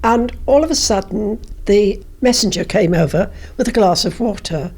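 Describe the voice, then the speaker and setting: An older woman, a former politician, reminiscing in an interview in a measured, anecdotal way. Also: medium pitch, expressive, darkish tone, slightly rough.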